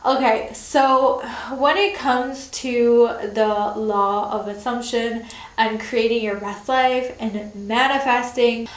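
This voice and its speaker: A woman's voice: fairly high pitch, reverberant. A young woman hosting her own channel, chatting casually with lots of fillers, her voice nasal and congested from a cold. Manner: chatty, many fillers, laughs between words. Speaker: channel host. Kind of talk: casual chat to camera.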